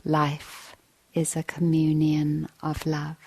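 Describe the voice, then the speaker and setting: A woman meditation teacher giving a guided dharma talk, gently and in measured short phrases with long pauses. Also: low voice, monotone, husky.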